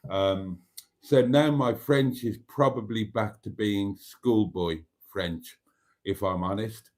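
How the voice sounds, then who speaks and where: Older Welsh-born male host with a low voice, unhurried, rambling live-stream chatter full of wry asides. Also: a little mellow. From Wales. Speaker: livestream host. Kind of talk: casual live chat reading comments.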